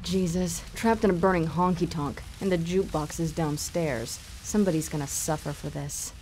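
An actress playing a sardonic, tough, vengeful half-vampire heroine with a dry, wisecracking delivery. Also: female voice, deep voice, moderate pace, noisy background. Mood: wry.

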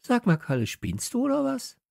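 A man's voice: very high-pitched, huge pitch swings, normal speed, gravelly, darkish tone, no room sound, studio quality, pitched above the performer's own voice, full character voice. A man voicing an assertive, sceptical young girl in a pert, challenging way for a children's audiobook.

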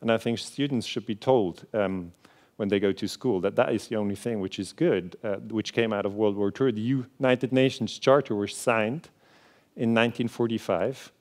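A man in his forties with a Swiss German accent delivers a conference keynote on a theater stage, speaking in an earnest, conversational way with frequent filler sounds. His voice sits somewhat low, and he explains points plainly to the audience as a lecturer. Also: normal speed, darkish tone. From Switzerland. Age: 40s.

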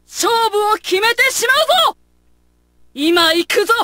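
A proud gallant lady knight type for a game, really high ringing voice, dry sound, stately. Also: a little slow, pushed and bright, dry recording, exaggerated voice. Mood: proud, commanding. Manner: formal, stately martial delivery. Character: noble, proud, gallant woman warrior. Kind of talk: video game battle voice lines.

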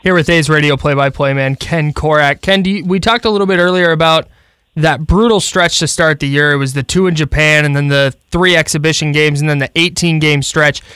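A man's voice: a little high, steady tone, fairly quick, sharp tone, clear, resonant tone, dry recording. A man co-hosting a casual sports radio interview, speaking into a close microphone in a small studio.

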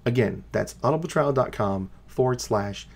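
A man's voice: medium pitch, lively intonation, normal speed, vocal fry. A man reading a scripted sponsor advertisement as a podcast announcer. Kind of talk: scripted ad read.

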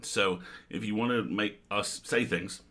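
A man's voice: lowish voice, fairly even intonation, measured pace, pushed and bright. A man co-hosting a charity stream on a headset mic, trading dry, teasing quips. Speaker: co-host. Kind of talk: casual livestream banter.

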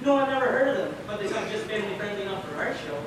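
Young man acting high-pitched fussy radio host. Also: expressive, rapid-fire. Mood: flustered, phone patter. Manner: theatrical, projected, exclamatory. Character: fussy, flattering male 1940s-style radio show host and boss. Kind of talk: amateur stage play acting. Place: large indoor theater stage, far camera. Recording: echoey stage from far off, loud noise.

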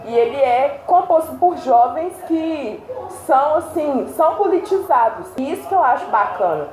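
A young woman co-hosting a casual video chat, animated and laughing often as she talks. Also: high voice, moderate pace. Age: young adult. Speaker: co-host. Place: indoor room against a plain wall. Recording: roomy echo.

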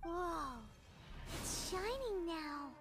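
A tiny, excitable fairy sidekick from an anime-style game. The voice is extremely high, squeaky and childlike. It sounds wide-eyed, as if everything is new. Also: female voice, drawn-out words, full character voice. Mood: surprised, puzzled. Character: tiny, excitable floating fairy girl companion.

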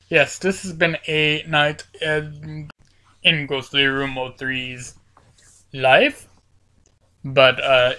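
A woman's voice: rumbling low, fairly bright tone, smooth. A woman with a cold, her voice cracking, giving playful, rambling commentary over a video game with lots of fillers. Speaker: gaming channel host.

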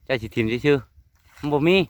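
A man with an Isan Thai accent chatting casually and in a folksy way to his viewers while filming outdoors in the countryside. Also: normal speed. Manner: often addresses viewers in a folksy way. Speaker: vlogger filming a family outing. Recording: noisy outdoor rural recording.

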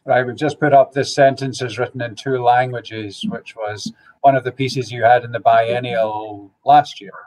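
A man's voice: mid-range voice, steady tone, moderate pace, a little mellow, poor audio. A man hosting an art panel over a video call, asking conversational follow-up questions. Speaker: host and moderator. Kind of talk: moderating a panel.

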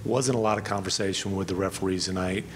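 A man's voice: low voice, steady tone, quick pace, a little hiss or hum. A male reporter asking conversational questions at a press conference.

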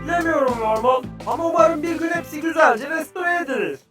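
An actor voicing a small, eager, adventurous cartoon creature with an excitable, friendly manner in a children's educational show. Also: high-pitched, fairly quick, natural voice. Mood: inquisitive. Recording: roomy, distant sound, loud music behind.

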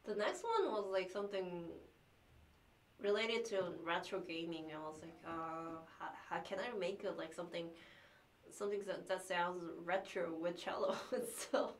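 A young woman whose first language is Korean, sick with the flu, chatting with livestream viewers in a small room, halting and restarting often with light laughs. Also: on the low side, a little hiss or hum. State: has the flu. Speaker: cellist streamer. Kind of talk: casual livestream chat reading viewer messages. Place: small practice room indoors.

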